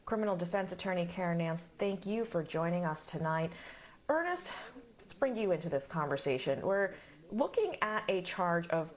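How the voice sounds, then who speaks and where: A woman's voice: fairly low pitch, lively intonation, moderate pace. Woman anchoring live television news. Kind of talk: live broadcast news presenting and interviewing.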